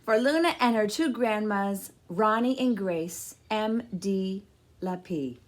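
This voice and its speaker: A woman reading a children's picture book aloud as a storyteller, with deliberate, expressive phrasing. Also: mid-range voice.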